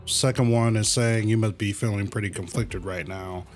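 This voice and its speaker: A man's voice: fairly low pitch, fairly even intonation, normal speed, soft background music. A man casually commentating on a game livestream, reading dialogue aloud in a laid-back, colloquial way and muttering to himself. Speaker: game streamer.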